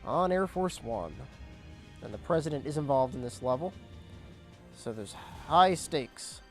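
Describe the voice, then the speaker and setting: A young man casually commentating his gameplay, explaining objectives as he goes, his voice cracking and squeaking now and then. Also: animated, unhurried, faint music behind. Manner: chatty, explains objectives as he plays. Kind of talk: casual let's play commentary.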